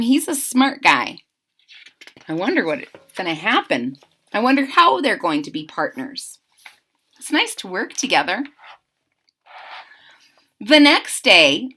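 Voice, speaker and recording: A woman reading a children's picture book aloud, expressive, adding chatty asides for young listeners. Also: on the high side, a little slow, pauses often. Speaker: storybook reader.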